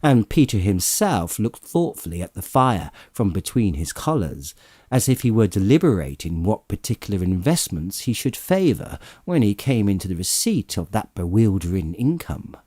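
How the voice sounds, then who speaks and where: A man reads a story in a low, mellow voice with a slightly husky grain. His narration is measured and expressive, like an audiobook. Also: no room sound, clean studio sound.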